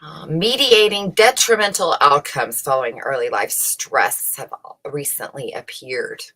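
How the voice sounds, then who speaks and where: Woman w a low bright forceful voice, opinionated casual commentary, asks lots of rhetorical questions. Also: unhurried, husky, low-quality recording. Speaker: host reading and commenting on research papers. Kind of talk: casual commentary on read-out research.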